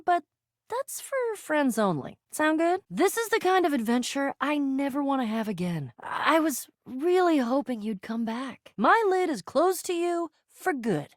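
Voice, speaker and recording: A woman's voice: high-pitched, no room sound, clean studio sound. A woman voicing an eager, quest-loving young female adventurer in a video game, slangy and prone to stammering. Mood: flustered, hesitant, then hurt, cold. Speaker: voice actor in a dating game. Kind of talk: scripted video game character lines.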